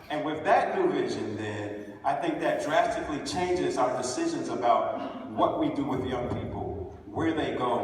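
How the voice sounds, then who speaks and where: A man's voice: a little high, slight background noise. A man on a conference panel who speaks earnestly and keeps asking "right?" to drive his points home. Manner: earnest, rhetorical, repeats "right?". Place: large conference hall. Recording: echoey hall, mic heard from far off.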